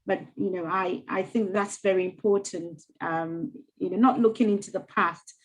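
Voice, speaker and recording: Soft-spoken woman, halting speech. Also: mid-range voice, fairly even intonation, poor audio. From Ghana. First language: not English. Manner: soft, halting, with many fillers and restarts. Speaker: mayor, interview guest. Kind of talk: casual interview conversation.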